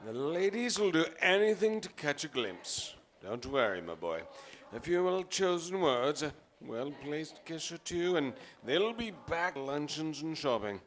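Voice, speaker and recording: An actor playing a pompous, vain, aging theatre star in a radio play, speaking in a grandiose, theatrical manner. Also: male voice, fairly high pitch, very animated, measured pace. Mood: smug, grand.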